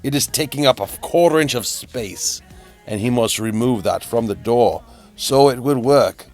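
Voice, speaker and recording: A man giving a melodramatic, whimsical storybook-style narration of a DIY build, repeating phrases for effect, in a gravelly voice. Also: lowish voice, darkish tone. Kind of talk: theatrical storybook-like narration of a hobby build video. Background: music.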